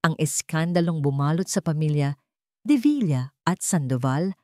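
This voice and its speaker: A woman narrates a dramatic scripted story in a fairly low voice. The recording is close and dry, with very little room sound. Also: animated, moderate pace, darkish tone, dry recording.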